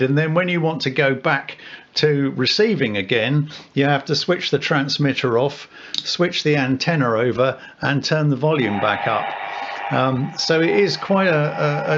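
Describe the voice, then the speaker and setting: Hesitant man in a dull, noisy recording. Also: a little high. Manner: hesitant, halting explanation with self-corrections. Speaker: amateur radio hobbyist demonstrating equipment. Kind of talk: informal unscripted demonstration. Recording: dull sound with loud background noise.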